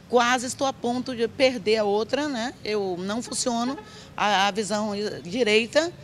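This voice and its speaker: A woman's voice: a little high, slight background noise. A woman with a Bahian Brazilian accent giving spontaneous personal testimony in a street interview. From Brazil, interior of Bahia, raised in Salvador. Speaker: member of the public, community leader and church president. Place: outdoor public square, on a small stage. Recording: outdoor TV recording with street ambience.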